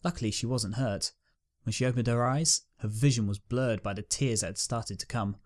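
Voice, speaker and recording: A man narrating a dramatic scripted story as its reader. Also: mid-range voice.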